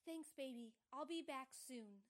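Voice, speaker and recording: Actress as a slow, high-voiced troubled young operator. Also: female voice, animated. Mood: subdued, unwell. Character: troubled, reticent young telephone operator, polite and evasive. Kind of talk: old-time radio drama acting.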